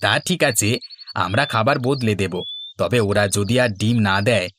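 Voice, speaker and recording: A man's voice: fairly low pitch, moderate pace, a bit bright, slight background noise, close to an everyday voice. A man playing an earnest village chicken farmer in scripted cartoon dialogue. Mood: worried.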